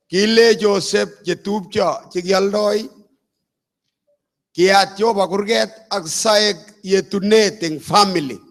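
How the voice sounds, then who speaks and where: An older man from Uganda preaches with a high voice. His delivery comes in slow, measured phrases. He speaks as an apostle giving a sermon.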